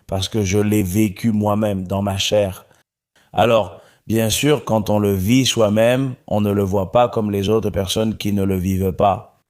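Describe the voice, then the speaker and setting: A man from Morocco, a guest in an interview, speaking calmly and often repeating his phrases. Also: fairly low pitch. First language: Arabic. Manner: calm, repeats himself. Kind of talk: interview conversation.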